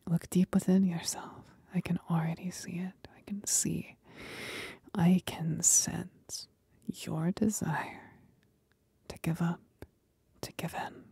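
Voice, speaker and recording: Seductive demon for ASMR roleplay, played by a woman: husky breathy whisper, creaky low edge, manipulative and mocking. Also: dark tone, exaggerated voice. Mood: coaxing, menacing. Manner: slow, breathy whispering with deliberate pauses.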